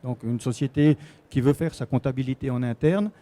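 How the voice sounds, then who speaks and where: A man's voice: mid-range voice, a little fast, warm tone. A man with a Swiss French accent explaining things as a guest expert in a live interview, often repeating words as he searches for the next. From Switzerland. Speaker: guest expert on relocating to Portugal.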